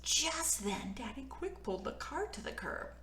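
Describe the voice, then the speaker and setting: A woman's voice: sits high, sing-song, moderate pace, bright, forceful tone. A woman hosting a children's storybook read-aloud, playful and informal as she chats to young listeners and narrates. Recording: roomy, echoey room sound.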